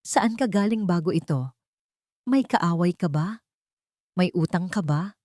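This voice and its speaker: A woman's voice: fairly low pitch, lively intonation, unhurried, darkish tone, dry recording, clean studio sound. A woman voicing a firm police officer in an audio drama, formal and insistent in questioning.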